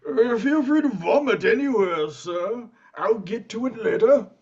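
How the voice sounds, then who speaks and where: A woman's voice: fairly high pitch, expressive, unhurried, darkish tone, husky, lo-fi sound. A woman playing a meek, eager, tipsy male servant in an audio play, hesitant and deferential.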